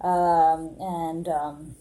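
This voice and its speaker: A woman's voice: sits low, little pitch movement, very slow, dark tone, poor audio. A woman in her late thirties from Portugal, talking to camera in an earnest, reflective monologue full of hesitations and restarts. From Portugal, born in Angola. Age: 30s. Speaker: vlogger.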